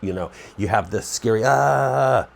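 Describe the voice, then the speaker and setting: A man's voice: lowish voice, unhurried, vocal fry. A man with a stuffy, nasal cold voice, hosting his own preparedness channel in a rambling, conversational way and often correcting himself. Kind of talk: casual talk to camera.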